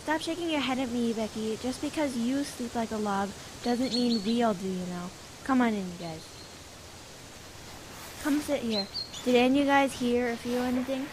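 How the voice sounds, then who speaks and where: A woman's voice: on the high side, normal speed, natural voice. A young female performer playing an insistent, bossy girl camper in an amateur audio drama. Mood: indignant, firm. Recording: clear background noise and ambience.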